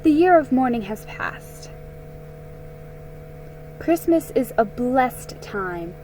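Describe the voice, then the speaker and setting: Woman as a kindly, slow-spoken storybook aunt. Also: on the high side, sing-song, warm tone, a little hiss or hum, pitched above the performer's own voice, low-quality recording. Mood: reassuring.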